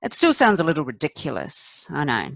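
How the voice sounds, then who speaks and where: A woman performing a confessional stage monologue as a candid, rueful middle-aged wife, wry and self-deprecating, with deliberate emphatic pauses. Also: sits low, huge pitch swings, vocal fry, a bit bright, no room sound, full character voice, low-quality recording. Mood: bemused, earnest.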